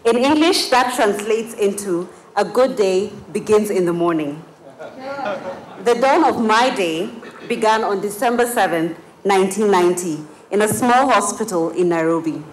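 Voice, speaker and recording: A woman's voice: mid-range voice, animated, normal speed, reverberant, poor audio. An eighteen-year-old woman from Kenya whose first language is Kiswahili, giving an earnest prepared speech at a gala dinner, with frequent uh fillers. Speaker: student speaker. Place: large banquet hall at a gala dinner.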